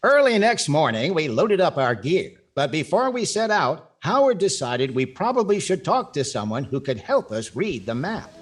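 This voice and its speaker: A man's voice: a little high, sing-song, normal speed, few pauses. A man playing a wry adventurer who narrates his trek in 1940s radio comedy style. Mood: storytelling. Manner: wry, storytelling.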